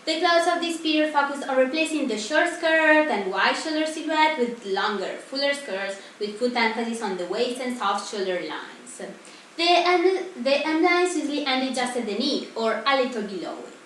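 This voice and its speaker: A woman's voice: high voice, animated, normal speed, a bit bright. A young woman, sounding like a student presenter, delivers a scripted presentation as a mock TV broadcast host. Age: teenager or young adult. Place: echoey indoor room. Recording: very echoey room, distant mic, faint noise.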